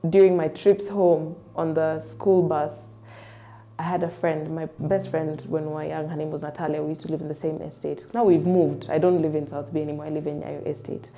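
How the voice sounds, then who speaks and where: Warm-voiced Kenyan woman chatting. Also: low-pitched, little pitch movement, fairly quick, slight background noise. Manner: chatty and rambling, with frequent "like" and "um". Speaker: singer-songwriter guest. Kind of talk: casual interview storytelling.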